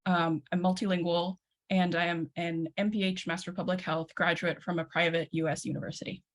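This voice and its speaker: Young panelist woman, low-ish voice, reads plainly. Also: flat delivery, brisk. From born in the US, raised in China. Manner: plain, listing, with fillers. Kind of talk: reading a personal statement.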